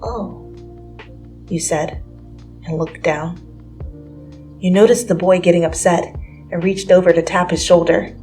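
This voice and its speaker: A woman's voice: lowish voice, little pitch movement, measured pace, long pauses, warm tone. A woman reading a story aloud as scripted, dramatic second-person narration. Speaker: fan fiction reader. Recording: music under the voice.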